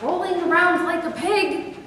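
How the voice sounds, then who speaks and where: A woman's voice: extremely high pitch, unhurried, fairly bright tone, slight background noise. A woman reading the part of an outraged religious mother in a staged play, indignant and scolding. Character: outraged devout adoptive mother. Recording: echoey hall with long reverb.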